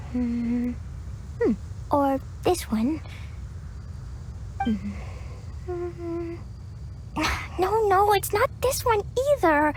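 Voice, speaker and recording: An actress voicing an innocent, excitable little girl in an anime fantasy game, with a childish, earnest way of talking. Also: extremely high pitch, slight background noise, full character voice. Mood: eager, searching.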